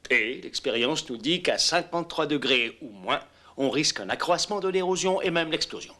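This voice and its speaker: A man's voice: on the high side, animated, normal speed, bright, forceful tone. A man acting an earnest, cautious rocket engineer in a dubbed docudrama, formal and insistent. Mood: insistent, worried.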